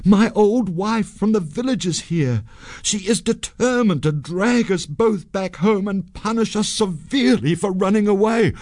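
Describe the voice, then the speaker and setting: A quick-witted young storybook hero, played by a man, earnest and urgent. The voice is pitched very high for a male and leaps about with dramatic animation. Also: sing-song, husky, no echo, clean studio sound, exaggerated voice. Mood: feigned panic. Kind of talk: storybook character voice.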